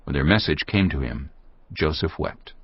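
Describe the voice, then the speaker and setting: A man's voice: extremely low pitch, lively intonation, normal speed, slightly rough, dry recording. A man narrating dramatized scripture in a solemn storytelling manner. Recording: slightly dull audio with music behind. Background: music.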